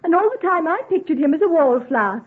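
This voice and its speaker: An actress playing a proper, proud young society lady with a husky, breathy voice in an old-time radio drama. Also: extremely high pitch, sing-song, quick pace. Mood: icily polite. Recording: tinny, narrow old radio recording.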